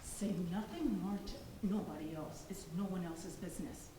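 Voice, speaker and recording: A woman's voice: fairly low pitch, normal speed, dark tone, close to an everyday voice. A woman playing a stern, secretive, coldly menacing mother in a stage play, speaking in clipped commands. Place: indoor theatre stage, recorded at a distance. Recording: very echoey hall, clear background noise.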